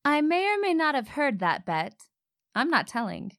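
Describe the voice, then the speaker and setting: High, animated, headstrong young-at-heart heroine played by a woman. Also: moderate pace, full character voice. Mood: wry narrating. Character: headstrong single woman renovating her inherited house, narrating her story. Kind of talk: romantic comedy radio play, acting and narration.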